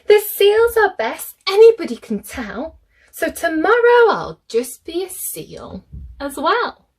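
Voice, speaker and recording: A woman voicing an excitable, restless male emu from a children's storybook, theatrical and eager. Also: extremely high pitch, takes it slowly, pitched above the performer's own voice, full character voice. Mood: delighted, scheming.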